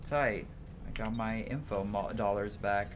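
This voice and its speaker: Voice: deep voice, unhurried, heavy background noise. A streamer reads game dialogue aloud in a dramatic way, stumbling now and then and correcting mid-word.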